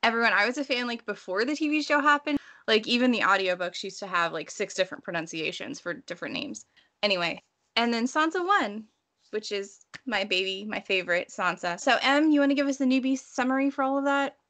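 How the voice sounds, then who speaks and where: A woman's voice: a little high, lively intonation, a little fast, a bit bright. Woman hosting a casual book chat. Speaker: podcast host.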